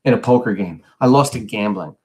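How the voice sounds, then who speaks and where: A man's voice: lowish voice, fairly quick, lightly acted. A man voicing a troubled, hot-tempered older brother who works and carries guilt, speaking bluntly and emotionally in a play reading. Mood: ashamed.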